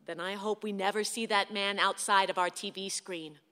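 An actress playing a weary, patient wife in a radio play, wry, coaxing and firm. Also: female voice, mid-range voice, steady tone, normal speed, bright, forceful tone, natural voice. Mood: exasperated. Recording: long hall-like reverb.

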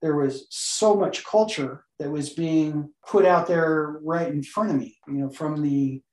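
A man's voice: on the high side, unhurried, a little mellow, reverberant, poor audio. A man talking earnestly as a guest on a podcast interview, building up long lists as he explains. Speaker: guest, co-author.

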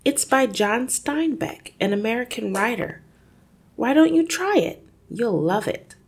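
A woman voicing a polite, clever little girl in a storybook reading, speaking pleasantly and high. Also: expressive, a little slow, slightly rough, full character voice. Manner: pleasant, sweet.

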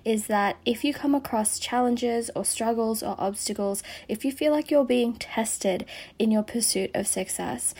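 A hoarse woman losing her voice, hosting a podcast with an earnest, encouraging motivational monologue that slips into a breathy whisper. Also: fairly high pitch, a little mellow. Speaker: podcast host.

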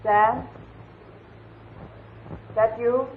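An actress playing a nervous, scheming young femme fatale, fretful and coaxing, in 1940s radio melodrama style. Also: female voice, fairly high pitch, steady tone, drawn-out words. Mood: faint, wary. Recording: noisy, tinny old radio recording.

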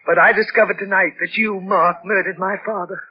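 A woman's voice: mid-range voice, fairly even intonation, a little fast, slight background noise, natural voice. A woman playing an anguished, accusing daughter of a murdered father, intense and dramatic, in 1940s radio drama style. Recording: dull old radio broadcast with music behind.

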